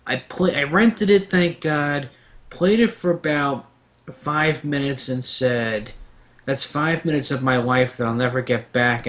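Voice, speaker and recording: A man with a medium-pitched voice speaks casually as a panelist. He rambles through anecdotes at length and lands his opinions with emphasis. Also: a bit bright.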